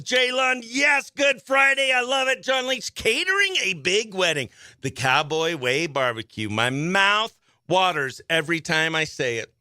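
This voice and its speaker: A man's voice: on the high side, bright, forceful tone, clean tone, dry recording, studio quality. A man hosting a live morning radio show, chatting exuberantly and slangily as he runs giveaways and phone-in games.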